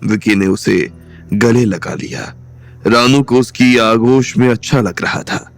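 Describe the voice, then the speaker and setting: A man narrating an audio drama with steady storytelling. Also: medium pitch, takes it slowly, vocal fry. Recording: soft music bed underneath.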